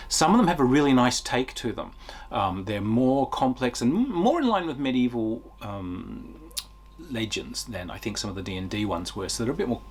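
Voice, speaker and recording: A man giving an unscripted, enthusiastic game review in a conversational way, with emphatic stresses and a husky voice. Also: fairly low pitch. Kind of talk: unscripted review talk to viewers.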